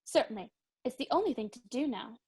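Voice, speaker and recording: A woman acts out a naive, prim young country lady in a Victorian comedy reading. The voice sits high, and the lines come formally, with earnest care. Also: expressive, brisk, a little mellow.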